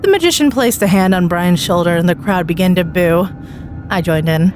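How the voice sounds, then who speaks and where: A woman reading a scripted horror story expressively, with dramatic pauses. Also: on the low side. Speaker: story narrator. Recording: music bed behind the voice. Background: background music.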